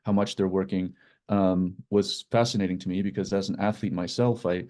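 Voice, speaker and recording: Male guest founder. Deep, mellow voice, informal explainer who gropes for words and repeats himself. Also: fairly even intonation. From Iceland. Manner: hesitant, halting delivery with repeated words. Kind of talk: informal explanatory talk about own work.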